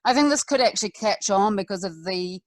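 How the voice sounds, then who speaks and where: A woman's voice: medium pitch, expressive, fairly quick, no room sound, studio quality. A woman chatting casually as a guest, giggly and often searching for her words. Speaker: guest performer. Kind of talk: casual chat.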